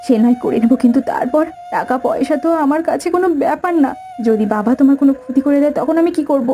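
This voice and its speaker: High, quick, earnest young bride played by a woman. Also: warm tone. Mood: anguished. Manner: earnest, melodramatic. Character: tearful young bride, rich man's devoted daughter. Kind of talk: Bengali cartoon story acting.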